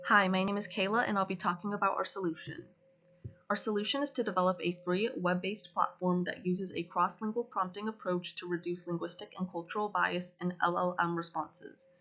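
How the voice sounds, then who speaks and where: A female student presenting her group's solution, going through the features in an even, methodical way. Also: lowish voice, brisk. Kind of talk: class project presentation.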